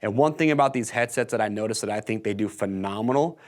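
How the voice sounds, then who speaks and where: A man's voice: on the low side, fast talker. An American man in his thirties giving an enthusiastic, casual product walkthrough to camera in a conversational sales style. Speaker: product video presenter. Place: indoor workshop-style studio set.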